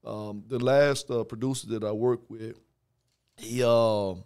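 Raspy man, 30s, rapper guest; gravelly, resonant, measured studio talk. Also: mid-range voice, fairly even intonation, darkish tone. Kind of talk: casual interview chat. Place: podcast studio. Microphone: headphones and studio mic on boom.

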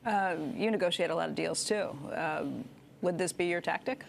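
Woman news anchor with a gravelly edge. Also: lowish voice, normal speed, slight background noise. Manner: crisp scripted delivery. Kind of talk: news presenting and interviewing. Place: TV studio.

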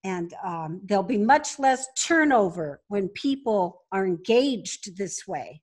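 A woman's voice: mid-range voice, animated, slow pace, a little mellow. A woman speaking conversationally as a guest in an interview, explaining her business. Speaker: podcast guest, business founder.

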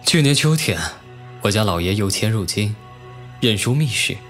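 An actor playing a proud, teasing and wistful young nobleman officer in an ancient-costume audio drama, with measured classical phrasing. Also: lowish voice, animated, faint music behind, close to an everyday voice. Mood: grim, recounting. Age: young adult.

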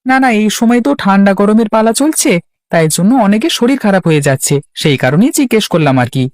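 A woman's voice: mid-range voice, expressive, quick pace, darkish tone, no room sound, clean studio sound, lightly acted. A woman playing a concerned, inquisitive young villager in a cartoon, eagerly asking questions. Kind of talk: Bengali cartoon voice acting.

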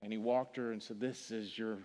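Missouri-accented pastor in a reverberant church. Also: male voice, lowish voice, level tone, moderate pace. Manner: earnest, repeats words, drops to a hush on key phrases. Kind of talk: funeral sermon. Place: large church sanctuary stage. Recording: long hall reverb from the church.

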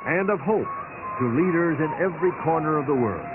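A man giving formal, measured documentary narration. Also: fairly high pitch, lively intonation. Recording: tinny voice-over with music bed.

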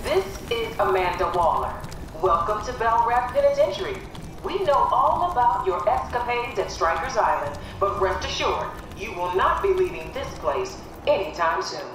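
A woman's voice: medium pitch, sing-song, normal speed, close to an everyday voice. Commanding female warden voiced by a woman, stern game announcement, heavily reverberant over music. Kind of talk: video game announcement acting. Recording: distant, very echoey, music behind.

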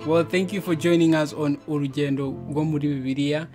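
A man's voice: a little high, flat delivery, fairly quick, a little mellow, faint music behind. Upbeat young man hosting a podcast. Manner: upbeat, encouraging, laughs often. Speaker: podcast host. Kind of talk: casual video-call Bible podcast chat. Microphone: headset.

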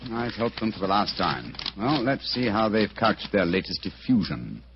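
Urbane detective, wry, stubborn, swooping intonation, vintage radio drama, dull noisy recording. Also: male voice, on the low side, moderate pace. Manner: crisp, formal, amused, animated. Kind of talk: old-time radio drama acting.

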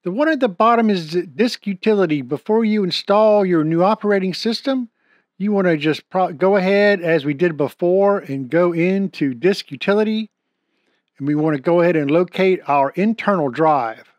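A man's voice: sits high, moderate pace, no echo, highs cut off. A middle-aged man with a Southern US accent, a computer repair technician explaining a tech tutorial step by step, with a few fillers. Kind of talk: screen-recorded tech tutorial.

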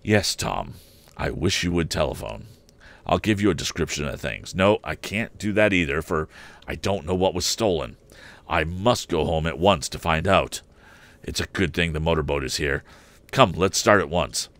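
A man's voice: very deep voice, lively intonation, moderate pace, fairly bright tone, dry recording, exaggerated voice. A man voicing an agitated, impatient elderly inventor and father in a storybook reading. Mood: worried, agitated. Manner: agitated, insistent.

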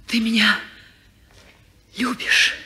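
An actress playing a restless, teasing young woman in her twenties in a 1950s radio play, playful and capricious, dropping to hushed whispers. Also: fairly high pitch, fairly even intonation, takes it slowly. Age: 20s.

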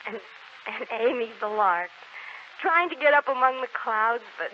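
An actress in a 1940s American radio drama playing a frail, gentle dying young woman, speaking haltingly and wistfully with sweet resignation. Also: a little high, lively intonation, natural voice. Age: young adult. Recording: muffled, very noisy 1940s radio recording.

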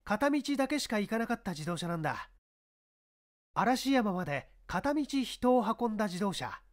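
A man voicing a shrewd, authoritative police inspector who gives brisk orders in a mystery audiobook. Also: high-pitched, sing-song, pitched above the performer's own voice. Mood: urgent, commanding. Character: shrewd, commanding middle-aged male police inspector. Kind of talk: dramatized mystery audiobook reading.

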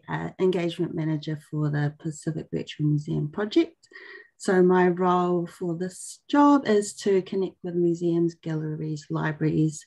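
A woman's voice: mid-range voice, dark tone. A woman in her thirties introducing herself and her role formally as co-host of an online panel. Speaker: engagement manager and co-host. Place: indoors on a video call. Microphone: computer mic on video call.

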